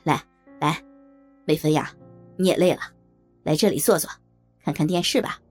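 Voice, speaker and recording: A woman's voice: low-pitched, a little mellow, soft background music, voice pushed down. A woman voicing a wealthy, refined man who is mild but commanding, in an audiobook. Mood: kindly. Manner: mild, unhurried, authoritative. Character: wealthy, refined, gently commanding male master of the house. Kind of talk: audiobook character dialogue.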